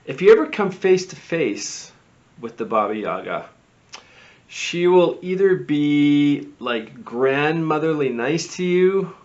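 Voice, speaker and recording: Theatrical man hosting, slightly slow. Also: medium pitch. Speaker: show host. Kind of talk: presenting spooky lore segments. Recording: slightly dull, lo-fi audio.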